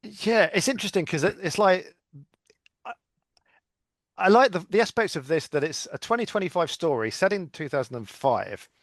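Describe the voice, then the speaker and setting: Lively, higher-pitched male podcast guest. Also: moderate pace, no room sound, clean studio sound. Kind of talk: casual chat.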